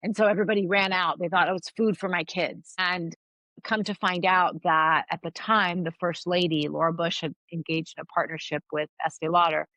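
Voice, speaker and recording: A woman's voice: lowish voice, steady tone, fairly quick, sharp tone, no room sound, poor audio. A middle-aged woman whose first language is Farsi, a guest giving earnest, thoughtful interview answers with frequent restarts. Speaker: guest, anthropologist and author.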